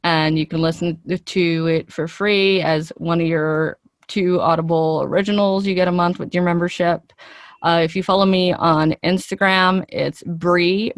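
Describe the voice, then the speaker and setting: Woman, slightly low pitch, dry recording, conversational, earnest, talkative interview guest. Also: dry recording.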